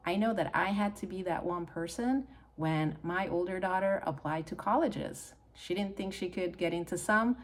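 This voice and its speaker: A middle-aged woman from Hungary with a Hungarian accent, talking earnestly and encouragingly to camera as a channel host. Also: lowish voice. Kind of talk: personal talk to camera.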